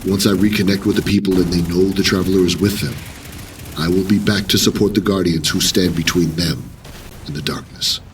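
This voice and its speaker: A man playing a solemn veteran commander turned spiritual leader in an audio drama, speaking in a measured, formal, earnest way. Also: sits low, fairly even intonation, far from the mic, a little hiss or hum, lightly acted. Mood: solemn, kind.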